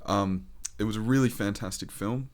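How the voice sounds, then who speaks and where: A man's voice: fairly low pitch, normal speed. A man casually introducing a short story of his own. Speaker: comedy storyteller.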